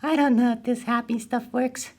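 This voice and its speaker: High-pitched, whiny, melodramatic puppet boy played by a woman. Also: steady tone, moderate pace, natural voice. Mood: strained, faltering. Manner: stammering, exaggerated, dramatic. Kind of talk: children's puppet show acting.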